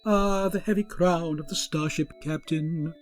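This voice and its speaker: Man doing a pompous space captain, grand manner, slightly high voice, faint music underneath. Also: fairly even intonation, a little slow, natural voice. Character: pompous male starship captain. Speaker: starship captain. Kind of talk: comic audio drama.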